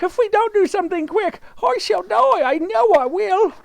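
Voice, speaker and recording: A man voicing a nasty old woman who screams in a shrill, frantic voice. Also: animated, normal speed, vocal fry, no room sound, heavily acted.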